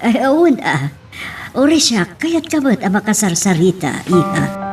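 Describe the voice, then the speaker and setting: A woman's voice: mid-range voice, sing-song, normal speed, lightly acted. A woman with an Ilocano accent playing a middle-aged, grateful, chatty mother in an audio drama. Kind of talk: Ilocano radio-style drama acting. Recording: distant microphone, music behind.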